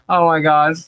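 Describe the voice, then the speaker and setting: A woman's voice: low voice, a bit bright, smooth, no echo, poor audio. Nasal-sounding woman hosting a livestream. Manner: rambling, with frequent restarts and laughs. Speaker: livestream podcast host. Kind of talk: casual livestream chat.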